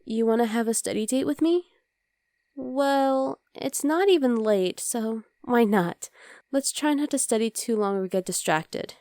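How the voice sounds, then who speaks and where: A woman playing an affectionate, playful young demon girlfriend in an intimate ASMR roleplay, speaking softly to the listener. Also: fairly high pitch, a little slow, dry recording, clean studio sound.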